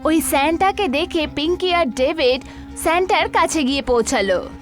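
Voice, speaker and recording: A woman with a very high voice narrates a scripted horror story. Soft music plays underneath. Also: expressive, moderate pace.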